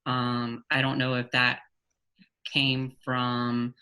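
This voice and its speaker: A young man from Texas speaking earnestly on a discussion panel, pausing often with fillers. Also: mid-range voice, completely flat delivery, pushed and bright, clean tone, rough recording. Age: young adult.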